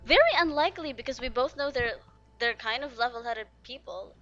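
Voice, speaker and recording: A woman's voice: fairly high pitch, fairly quick, sharp tone, dull audio. A woman doing casual game playthrough commentary, chatting and musing aloud as she reacts to the characters. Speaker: game streamer.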